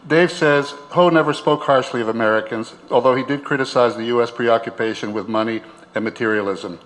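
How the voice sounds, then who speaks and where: A man's voice: medium pitch, a little fast, fairly bright tone, low-quality recording. A man narrates a documentary in a mid-range voice. He reads prepared narration as the storyteller who links the program together. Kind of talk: scripted narration.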